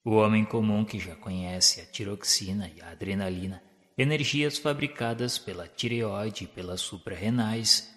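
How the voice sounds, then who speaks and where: A man's voice: on the low side, a bit bright. A man with a Brazilian Portuguese accent giving formal, solemn, scripted literary narration for a radio drama. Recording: long reverb on the voice.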